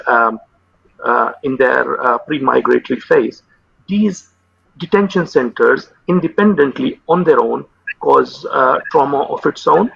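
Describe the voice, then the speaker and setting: Gravelly halting man on a video call. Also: mid-range voice, no echo. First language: Urdu. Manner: halting, many fillers and repeated words. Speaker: consultant psychiatrist guest. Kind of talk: interview over video call. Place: home room with window. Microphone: video call webcam. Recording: dull lo-fi video call audio.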